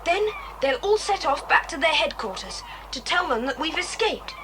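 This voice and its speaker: Decisive boy ringleader, high animated voice, brisk, earnest, children's radio-style drama, hissy cassette. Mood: resolute. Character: Jack, bold decisive boy leading the children. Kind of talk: children's audiobook drama acting. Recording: hissy, rumbling 1980s cassette transfer.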